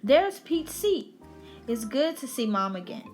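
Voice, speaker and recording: A woman's voice: high-pitched, very animated, unhurried, a little mellow, faint music behind. A woman reading a children's picture book aloud as a storyteller on her channel.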